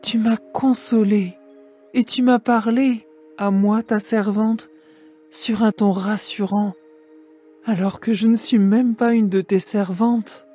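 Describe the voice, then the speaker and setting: A woman plays a humble young foreign widow in a scripture drama, over light music. Her voice is dark and husky, and she speaks slowly and gratefully. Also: medium pitch, steady tone. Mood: humbly grateful.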